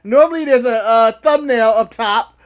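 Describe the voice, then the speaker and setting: A man's voice: very high voice, moderate pace. An American man co-hosting a podcast, talking in casual live banter. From United States. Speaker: podcast co-host.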